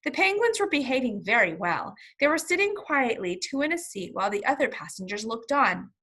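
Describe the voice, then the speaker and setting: A woman reading a children's story aloud as a first-grade teacher, expressive and instructional, pausing to explain words simply. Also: fairly high pitch. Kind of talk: children's read-along with vocabulary explanations.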